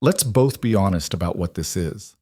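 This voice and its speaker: A man's voice: low voice, lively intonation, moderate pace, mellow, breathy edge, no room sound, studio quality. A man voicing a tense, defiant young woman.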